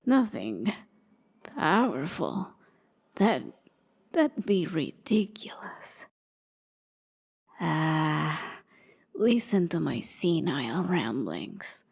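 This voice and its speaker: A woman's voice: sits low, very animated, extremely slow, creaky, pauses often, a little mellow, breathy edge, pitched below the performer's own voice, heavily acted. A woman voicing a feeble, pleading old woman traveler in a fantasy ASMR roleplay, with a hesitant way of talking. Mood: nervous, feeble.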